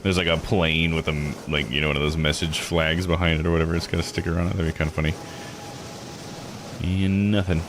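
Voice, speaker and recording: A man's voice: rumbling low, level tone, fast talker, background noise. A man giving casual, laid-back game commentary as a streamer, musing aloud as he plays. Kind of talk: casual let's-play commentary.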